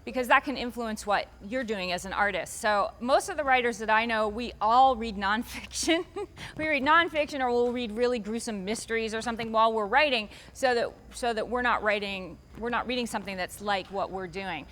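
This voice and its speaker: A woman's voice: on the high side, brisk, a bit bright. A woman author answering interview questions in a chatty, rambling way, restarting her sentences often and lifting her voice for emphasis.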